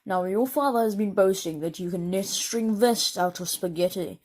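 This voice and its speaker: A woman voicing a pompous king, proud of his silly name, in a slow, deep royal tone. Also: a little mellow.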